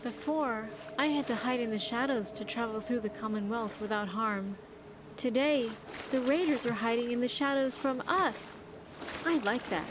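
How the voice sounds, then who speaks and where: A woman's voice: on the high side, normal speed, background noise, natural voice. A woman playing an earnest, grateful young survivor companion in a video game, speaking in careful, formal phrases. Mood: earnest, hopeful. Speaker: companion character in a game mod. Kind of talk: scripted game dialogue.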